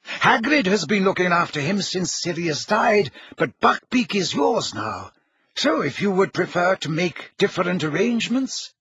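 A man voicing an elderly male wizard who speaks in a measured, courteous, dryly amused way. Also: sits high, big pitch swings, fairly bright tone, breathy edge, no room sound, highs cut off, voice pushed up, exaggerated voice. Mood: genial. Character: elderly male wizard headmaster, calm and wry.

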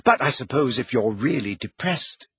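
A man's voice: medium pitch, expressive, moderate pace, fairly bright tone, slightly rough, dry recording, highs cut off. A man voicing an earnest, indignant, clever teenage girl who explains insistently in an audiobook story. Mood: earnest, explaining.

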